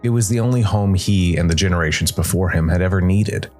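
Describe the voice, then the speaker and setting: A man hosting and narrating a scripted story podcast in a measured, deliberate storytelling manner. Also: deep voice, darkish tone, soft background music.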